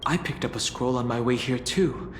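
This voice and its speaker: A man playing an earnest, calm young hero in a fantasy game, serious and plain-spoken. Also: mid-range voice, normal speed, a little hiss or hum, close to an everyday voice. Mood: serious, concerned. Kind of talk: scripted game dialogue acting. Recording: echoey, loud music underneath.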